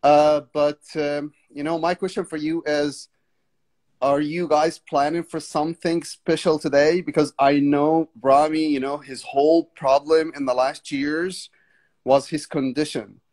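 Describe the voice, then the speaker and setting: A man's voice: on the high side, little pitch movement, unhurried, darkish tone. A man from Egypt calling into a live video chat, polite and eager, answering with short agreements. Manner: polite, eager, short replies with many yeses. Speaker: caller. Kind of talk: casual call-in chat. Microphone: video call.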